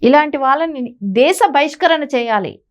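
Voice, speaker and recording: High-voiced, emphatic woman commentator. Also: animated, normal speed, lo-fi sound. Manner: emphatic, insistent, with rhetorical questions. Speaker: political commentator on a news talk show. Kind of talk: opinionated news discussion.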